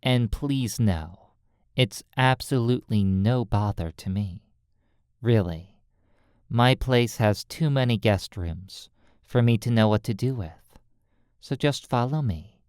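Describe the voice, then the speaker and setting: A hypnotist speaking in a soothing, deliberate way, pausing between short phrases as in a guided sleep roleplay, close to the microphone. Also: medium pitch, darkish tone, dry recording. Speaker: hypnotist and recording host.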